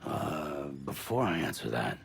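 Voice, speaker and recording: An actor playing a gruff, guarded, troubled courier in a video game, speaking in a hesitant, halting way. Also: male voice, extremely low pitch, sing-song, a little hiss or hum, full character voice. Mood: uneasy, hesitant. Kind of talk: cinematic video game acting.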